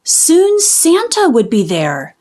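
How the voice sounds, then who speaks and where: A woman reading a children's storybook aloud in an expressive, deliberate way with dramatic pauses. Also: very high-pitched. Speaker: children's book reader. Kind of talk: animated storybook read-aloud.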